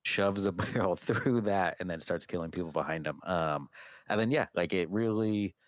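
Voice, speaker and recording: A man's voice: deep voice, normal speed, no echo, studio quality. A man co-hosting a podcast in casual chat, rambling and trailing off mid-thought. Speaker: podcast co-host.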